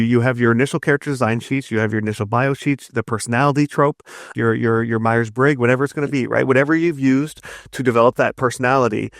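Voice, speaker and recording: Male interview guest, explanatory and looping, frequent tag questions, some vocal fry, dry recording. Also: medium pitch, dry recording. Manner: rambling, repetitive, often tags statements with "right?". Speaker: interview guest, narrative designer and writer.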